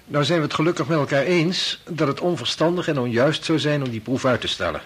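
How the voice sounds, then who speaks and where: An actor with a Netherlands Dutch accent playing a decisive, matter-of-fact medical researcher steering a meeting in a radio drama. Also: male voice, a little high, expressive, brisk, breathy edge. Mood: businesslike.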